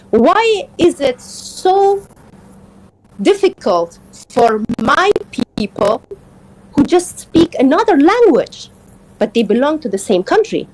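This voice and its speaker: Woman from afghanistan, native persian speaker, kinda high voice, earnest, keeps searching for words in a live political talk. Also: darkish tone, rough recording. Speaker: interview guest.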